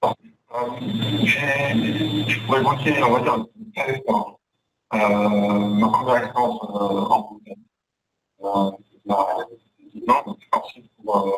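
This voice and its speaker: A man speaks as a panel guest in a creaky, gravelly voice, picked up at some distance from the microphone. His delivery is halting and unscripted, with words repeated as he looks for the next phrase. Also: mid-range voice, a bit bright, slight background noise. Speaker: insurance network manager on a discussion panel. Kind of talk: hesitant spoken testimony about career.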